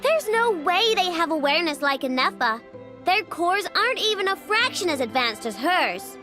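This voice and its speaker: A woman's voice: very high voice, very animated, normal speed. A woman playing a confident, curious young girl inventor in a video game. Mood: curious, thoughtful. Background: game music.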